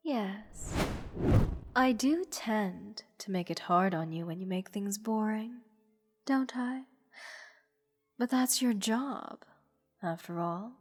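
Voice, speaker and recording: A woman playing a haughty, playful goddess who teases a captive mortal in an unhurried, condescending manner, in a roleplay audio drama. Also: mid-range voice. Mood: amused, teasing. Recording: long hall reverb. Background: music.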